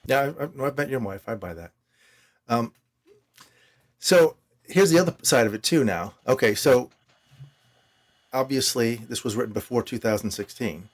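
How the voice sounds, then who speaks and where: A man's voice: mid-range voice, animated, normal speed, long pauses. A man hosting a podcast interview in a casual, conversational style.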